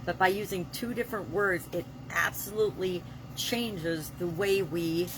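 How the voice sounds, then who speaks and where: Over clear, vehicle-like background noise, a woman speaks in a slightly low voice. She talks off the cuff like a motivational coach, stressing her opinions and repeating phrases to drive them home. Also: a little slow. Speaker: vlog host and business coach. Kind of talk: unscripted motivational vlog talk.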